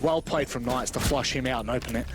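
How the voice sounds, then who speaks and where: A man's voice: medium pitch, fast, very noisy. A man giving live play-by-play commentary on an esports match. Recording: game gunfire and clicks behind. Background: video game gunfire and clicks.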